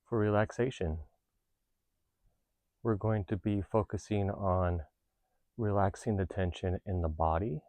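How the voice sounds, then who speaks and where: A man with a deep, soft-edged, mellow voice leads a guided meditation. He speaks calmly and reassuringly, taking his time over each gentle instruction. The sound is dry, with no room around the voice. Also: no room sound. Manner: calm, unhurried, reassuring. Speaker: meditation teacher.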